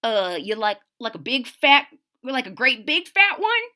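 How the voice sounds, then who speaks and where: A woman's voice: fairly high pitch, big pitch swings, a little slow, pushed and bright, full character voice. A woman voicing a sly little mouse-like creature in a storybook, teasing and playfully wicked.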